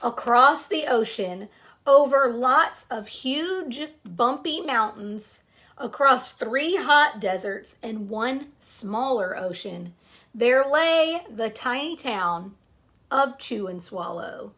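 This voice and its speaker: A woman reading a children's picture book aloud, pausing between short phrases and speaking directly to young listeners. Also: fairly high pitch, expressive, fairly bright tone.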